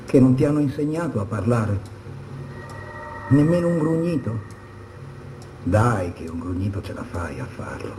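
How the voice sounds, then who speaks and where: A man's voice: medium pitch, very animated, normal speed, pauses often, noisy background, close to an everyday voice. An actor playing a coarse, bullying policeman in a stage drama, mocking and goading. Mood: menacing, mocking. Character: taunting, bullying male policeman, fat and coarse. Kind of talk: theatrical stage dialogue. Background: jazz music.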